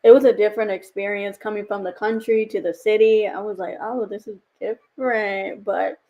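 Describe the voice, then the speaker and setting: Young woman, hard of hearing, sassy, upbeat, mid pitch, interview guest. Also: steady tone, moderate pace, lo-fi sound. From North Dakota. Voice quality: speech of a hard of hearing speaker. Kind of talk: interview answers.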